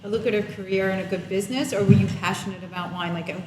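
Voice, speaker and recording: A woman's voice: mid-range voice, fairly even intonation, very fast. A woman hosting an interview, chatty and encouraging, with frequent short agreements. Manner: warm, chatty, many backchannels. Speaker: host. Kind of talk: casual interview. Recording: echoey room with background noise.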